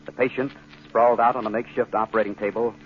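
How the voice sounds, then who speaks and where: A man's voice: medium pitch, steady tone, fast talker. A man reading dramatic scripted narration in 1940s radio style. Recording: dull, noisy 1940s radio recording.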